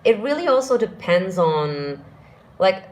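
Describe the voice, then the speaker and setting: A woman vocal coach with a deep speaking voice, answering viewer questions and demonstrating exercises in a live singing lesson. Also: big pitch swings, a little slow, darkish tone, poor audio.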